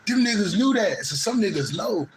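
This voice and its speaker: A man's voice: sits high, echoey room, background noise. A man with a Midwestern American accent chatting loosely with a caller, laughing often and talking in heavy slang with lots of swearing. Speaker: host of a spiritual channel. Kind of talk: casual chat with a live caller.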